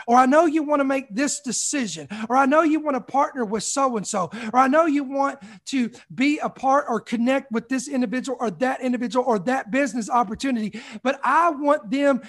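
A man in his forties delivers a sermon. He drives points home with repeated phrases, swings his pace between slow stresses and quick runs, and calls out to the congregation. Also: very high-pitched, fairly bright tone. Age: 40s. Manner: emphatic preaching with repeated phrases and call-outs. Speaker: pastor.

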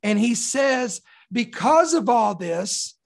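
A preacher delivers an expository sermon in a mid-pitched, slightly raspy voice. The voice sounds mildly hoarse from a sore throat. Key words are stressed deliberately, and phrases are repeated for emphasis between readings of scripture. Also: lively intonation.

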